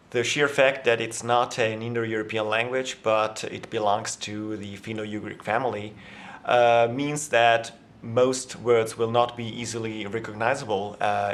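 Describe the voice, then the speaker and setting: A man in a language vlog, explaining grammar in a casual, thinking-aloud way with many fillers and restarts; his first language is Italian. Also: fairly low pitch. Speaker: language vlogger. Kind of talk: casual language lesson to camera.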